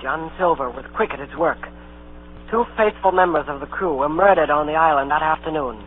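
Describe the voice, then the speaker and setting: A man's voice: on the high side, brisk, a little hiss or hum, close to an everyday voice. A man playing an adventurous youth who narrates his tale dramatically in an old scripted radio drama. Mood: tense. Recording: tinny vintage radio broadcast with music.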